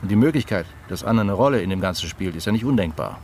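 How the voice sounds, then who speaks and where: An actor playing a dry, sceptical police detective, laconic and gently mocking, in naturalistic radio drama dialogue. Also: male voice, lowish voice, expressive, fast talker, a little hiss or hum. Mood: measured, reasonable.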